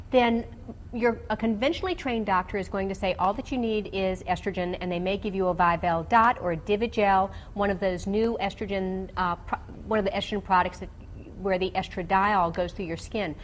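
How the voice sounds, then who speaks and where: A woman doctor giving explanatory, technical medical advice to callers on a radio call-in show, with hesitant pauses. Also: medium pitch. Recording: clearly noisy background.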